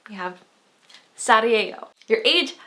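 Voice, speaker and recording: A young woman in her twenties who talks fast, with a fairly high voice. She teaches in a chatty, upbeat way, laughing as she explains. Her words come in quick, eager runs. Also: expressive, bright, forceful tone. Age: 20s. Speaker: language teacher. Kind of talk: video language lesson.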